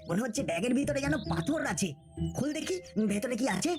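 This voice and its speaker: A woman's voice: high voice, very animated, fast talker, over loud music. A woman voicing a bold, scheming young boy in a cartoon, eager and conspiratorial. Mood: curious, secretive.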